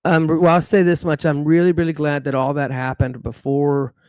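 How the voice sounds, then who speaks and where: Man, middle-aged, relaxed guest, light crooner's voice, rambly, dry close mic. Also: moderate pace, clear, resonant tone, no echo. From Dallas, Texas. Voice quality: fairly high crooning voice. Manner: easygoing storyteller, lots of fillers and restarts. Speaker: musician guest. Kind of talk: relaxed podcast interview.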